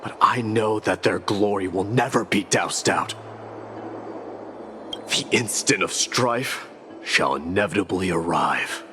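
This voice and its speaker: A man playing a proud, brooding immortal prince in a video game, speaking in a grave, formal way that turns forceful when roused. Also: lowish voice, slow. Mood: grave, bitter. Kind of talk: dramatic video game voice acting. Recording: loud background music.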